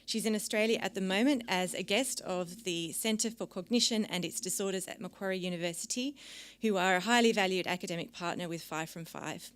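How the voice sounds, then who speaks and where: A woman's voice: on the low side, fairly quick, smooth. A woman hosting an event in a lecture room, giving a polished, formal introduction of a guest speaker. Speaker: event host.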